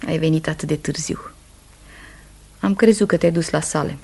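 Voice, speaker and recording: Husky, grave, mistrustful wife. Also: female voice, low-pitched, mellow, full character voice. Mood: subdued. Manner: restrained, earnest, reproachful. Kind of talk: Romanian radio theatre acting.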